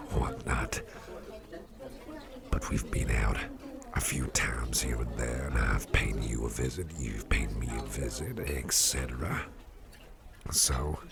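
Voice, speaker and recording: A man playing a friendly, affectionate office boss in an ASMR roleplay, murmuring in a breathy half-whisper and rambling gently as he repeats himself. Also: a bass voice, full character voice. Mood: warm, grateful. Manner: hesitant, repetitive, gently rambling. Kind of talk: ASMR roleplay, intimate personal attention. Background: music.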